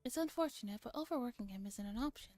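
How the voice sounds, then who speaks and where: Soft, mellow woman reading aloud. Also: a little high, lively intonation, quick pace, no echo. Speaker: streamer reading a visual novel aloud. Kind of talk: soft ASMR read-aloud with casual chat. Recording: music playing behind the voice. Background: music.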